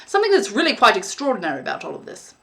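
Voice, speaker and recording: A woman reading a storybook aloud as a polite, puzzled young lodger, a young man who talks in an earnest, halting, musing way. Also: medium pitch, huge pitch swings, creaky, a bit bright, a little hiss or hum, heavily acted.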